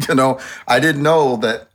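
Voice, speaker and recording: A man's voice: medium pitch, slow pace, fairly bright tone. An older man telling stories in an interview, with light laughs and playful asides. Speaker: choreographer guest. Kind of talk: reflective interview storytelling.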